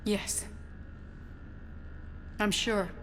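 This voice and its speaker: An actress playing a determined, grieving young scientist in a video game, speaking with earnest intensity. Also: female voice, very slow. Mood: nervous. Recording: noisy ambience behind dialogue.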